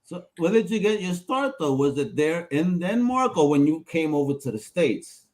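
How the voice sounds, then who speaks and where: A man's voice: fairly high pitch, big pitch swings, normal speed, a little mellow. A man co-hosting an interview podcast, hyped and exclamatory with playful slang. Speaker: podcast co-host. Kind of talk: casual interview chat.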